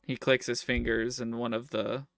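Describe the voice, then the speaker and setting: A man improvising narration as game master, halting and rephrasing as he describes each scene. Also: mid-range voice, flat delivery, vocal fry, fairly bright tone, dry recording, clean studio sound, faint music behind.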